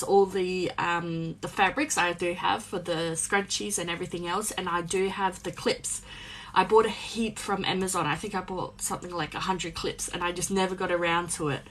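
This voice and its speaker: A young woman talks casually while she sews, her voice a little croaky. She rambles along with plenty of ums. There is a faint noise behind her, with a few small clicks. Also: on the low side, fairly bright tone, poor audio. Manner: chatty, rambling, many ums. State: slightly croaky voice. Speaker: small business owner live-streaming crafts. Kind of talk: casual chat while sewing. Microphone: phone on a live stream.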